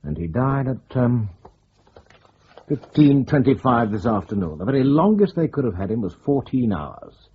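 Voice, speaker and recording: An actor playing an unruffled senior spymaster in a radio drama, reasoning with calm authority. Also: male voice, medium pitch, moderate pace, slight background noise, natural voice. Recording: dull lo-fi recording.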